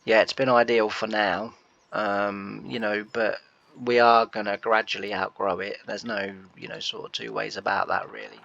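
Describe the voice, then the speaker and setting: A man's voice: fairly low pitch, flat delivery, normal speed, gravelly, bright, forceful tone, no room sound, dull audio. A man, nasal from a cold, rambling through casual gameplay commentary with many fillers. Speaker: gameplay host.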